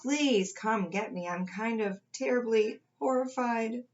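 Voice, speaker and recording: A woman's voice: fairly high pitch, measured pace, darkish tone, muffled recording. A woman voicing a sheepish, frightened storybook crayon, rambling and pleading nervously. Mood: scared, pleading.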